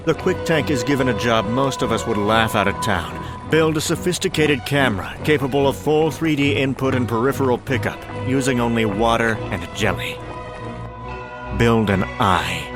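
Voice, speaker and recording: A man narrating a comic story in a dramatic scripted style, with a wry, deliberate storytelling manner. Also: medium pitch. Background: music.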